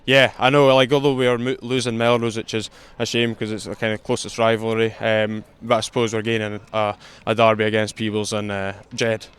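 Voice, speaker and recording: A man's voice: lowish voice, little pitch movement, fairly quick, few pauses, fairly bright tone, clean tone. A man, a rugby player, giving a casual, hesitant interview. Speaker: rugby player and team captain. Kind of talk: casual post-match interview. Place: outdoors pitchside.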